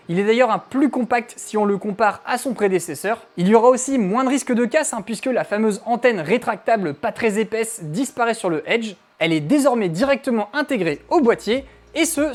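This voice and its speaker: A woman's voice: fairly high pitch, a little mellow. A woman reviewer narrating a scripted product test in an informal, conversational way, with frequent tag words. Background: faint background music.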